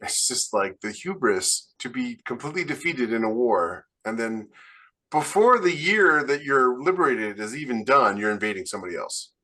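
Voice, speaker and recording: A man's voice: mid-range voice, animated, normal speed, pushed and bright. An American man co-hosting a podcast, talking casually with blunt, opinionated delivery. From United States. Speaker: podcast co-host, writer. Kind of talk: casual panel discussion.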